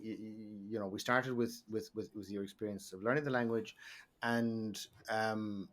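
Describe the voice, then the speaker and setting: Low-voiced male podcast host. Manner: long, winding questions with repeated words. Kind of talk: interview questions.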